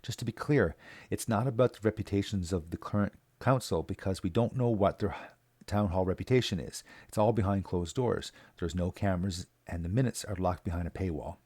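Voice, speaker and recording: A man's voice: low voice, fairly quick, mellow, breathy edge, no echo. A man giving a casual, earnest community update, speaking plainly with many fillers and restarts. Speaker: local community advocate. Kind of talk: casual spoken video update.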